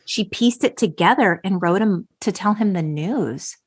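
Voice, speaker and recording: A woman hosting a podcast in a conversational but articulate style, expressive and engaged, moving between recap and chat. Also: mid-range voice, normal speed, husky. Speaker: podcast host. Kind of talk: conversational hosting, part scripted film recap.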